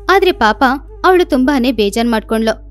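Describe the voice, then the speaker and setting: A woman reading scripted children's cartoon stories in an expressive storytelling manner. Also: a little high, a little fast, soft background music.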